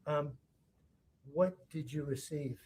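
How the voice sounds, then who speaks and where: A man acting as prosecutor in a courtroom, questioning a witness in a halting way, often rephrasing mid-sentence. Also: on the high side, darkish tone, slight background noise.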